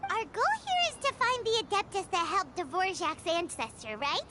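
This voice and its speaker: A woman voicing a tiny, excitable, whiny childlike fairy companion in a video game, squeaky and exclamatory. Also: moderate pace, very noisy. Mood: eager. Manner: talks about self in third person, exclamatory. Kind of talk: video game character acting.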